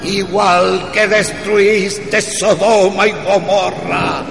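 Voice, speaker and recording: An actor playing a fervent religious zealot in a radio drama, praying in a solemn, imploring, drawn-out way. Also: mid-range voice, level tone, gravelly. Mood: fervent, wrathful. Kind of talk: radio drama prayer, slow chanting. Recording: echoey hall reverb under loud music.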